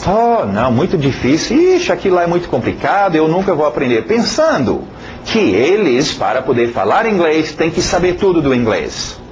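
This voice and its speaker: Male language teacher, very lively intonation, informal, repetitive emphasis, background noise. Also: a little high, normal speed, muffled recording. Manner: animated, repeats words for emphasis, frequent check-in tags. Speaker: English teacher. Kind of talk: informal spoken lesson.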